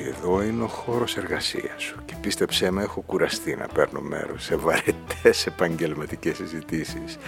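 An actor playing a smooth wealthy married businessman in a radio drama, talking unhurriedly and insinuatingly, often dropping to a breathy murmur. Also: sits low, full character voice. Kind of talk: Greek podcast radio play acting.

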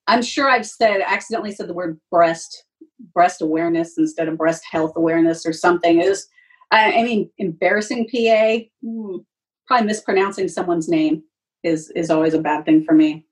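A woman's voice: lowish voice, fairly quick. Woman guest, slightly distant from the mic. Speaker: interview guest, sports public address announcer. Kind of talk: casual interview chat.

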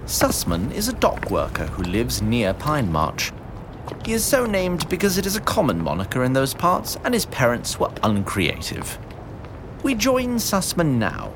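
A man's voice: medium pitch, noisy background. A man narrating a fantasy audio drama in a theatrical, formal storybook style. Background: music and sound effects.

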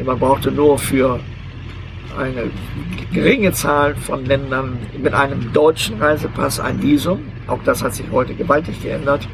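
An older man answers questions outdoors, his gravelly voice halting and deliberate, full of hesitations as he searches for words. A faint outdoor hum runs underneath. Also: mid-range voice, steady tone. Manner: deliberate, hesitant, many filler sounds. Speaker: interviewee, long-term overland traveler. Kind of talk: outdoor interview. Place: outdoors beside a vehicle museum.

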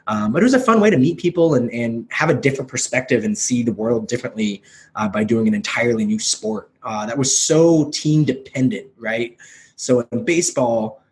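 Energetic, self-deprecating man telling his story. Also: medium pitch. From United States. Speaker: podcast guest. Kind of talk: casual chat.

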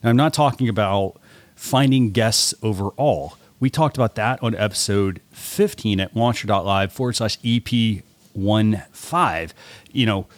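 A man co-hosting a podcast, introducing the topic and the guest in a relaxed, conversational way. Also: lowish voice, unhurried, no echo. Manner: easygoing, with occasional fillers. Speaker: podcast co-host.

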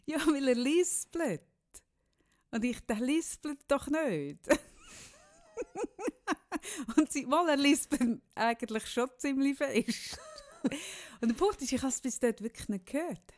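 A middle-aged woman, chatting casually as a podcast co-host in a teasing, animated storytelling manner. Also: sits high, takes it slowly, pauses often. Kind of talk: casual chat with a friend.

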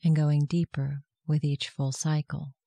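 A meditation guide giving gentle, soft-spoken, measured instructions in a scripted guided meditation. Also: mid-range voice, flat delivery, no room sound.

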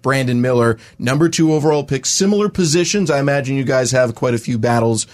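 A man's voice: mid-range voice, quick pace, a little mellow, no room sound. A man hosting a sports podcast and asking interview questions in a polished broadcast style.

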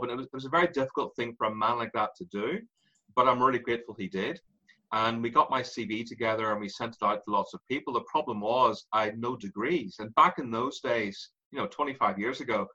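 Irish guy in his 40s, husky bright voice, lively storyteller, kinda dull lo-fi sound. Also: mid-range voice, quick pace. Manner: animated, laughs through stories. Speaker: guest. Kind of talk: candid interview storytelling.

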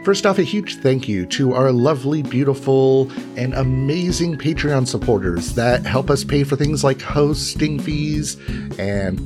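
A man speaking casually as a podcast host and game master. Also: medium pitch. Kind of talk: casual intermission announcement and game narration.

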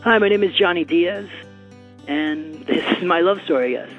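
Nervous man, high voice, faint music behind. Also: moderate pace. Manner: hesitant, with ums. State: a little nervous. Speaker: storyteller sharing his love story. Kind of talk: casual personal storytelling. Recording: tinny phone-like audio with faint music.

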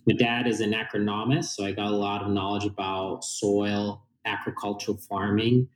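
A man's voice: lowish voice, level tone, moderate pace, echoey room, low-quality recording. A man in his forties with a Finnish accent, a guest explaining his background earnestly with frequent fillers. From Finland. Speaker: guest, company founder. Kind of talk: casual interview talk.

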